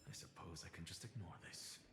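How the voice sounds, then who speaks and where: A man's voice: deep voice, a little fast, lightly acted. An actor playing an arrogant, irritable young male scholar in a video game, with a sarcastic, exasperated manner. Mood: dry, sardonic. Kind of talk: scripted video game voice acting. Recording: echoey long reverb over music.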